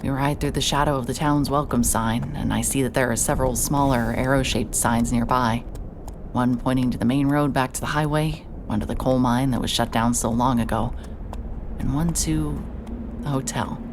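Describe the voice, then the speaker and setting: A woman's voice: rumbling low, steady tone, moderate pace. A woman narrating an audio drama in the first person, with a wry, sardonic delivery. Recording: clear background noise.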